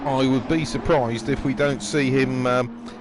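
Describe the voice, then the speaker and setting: Slightly hoarse man calling a live race, relaxed and chatty, some background noise. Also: mid-range voice, measured pace. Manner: chatty, frequent ums and throat clearing. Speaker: race commentator. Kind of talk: live sim racing commentary. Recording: noisy background.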